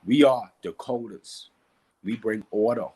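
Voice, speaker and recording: Bahamian guy, bit raspy, podcast guest teaching spiritual stuff, really emphatic, keeps repeating his points. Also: lowish voice, a little slow, a little mellow. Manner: emphatic, repeats key phrases, checks in with rhetorical questions.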